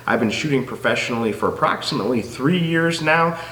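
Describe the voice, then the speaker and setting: A man's voice: medium pitch, expressive, a little fast, a bit bright, reverberant, low-quality recording. A man with a nasal, stuffed-up voice from a head cold, chatting enthusiastically to camera about photography gear. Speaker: photography YouTuber. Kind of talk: casual gear talk to camera.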